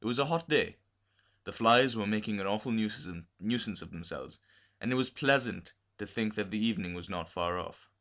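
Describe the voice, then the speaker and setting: A man narrating a literary short story expressively, with measured, deliberate phrasing. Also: on the low side, fairly bright tone. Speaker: story reader.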